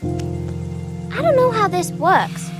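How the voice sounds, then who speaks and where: High-voiced, bitter ghostly girl. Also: big pitch swings, extremely slow, over music, exaggerated voice. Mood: bitter, cool. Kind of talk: naturalistic video game acting.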